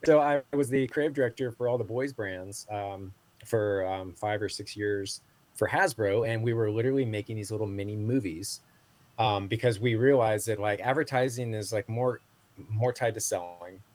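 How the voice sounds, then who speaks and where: A man's voice: on the low side, normal speed, a little mellow. A man in his forties, a podcast guest, talking casually with many fillers and restarts. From Southeast Asia. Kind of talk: casual interview talk.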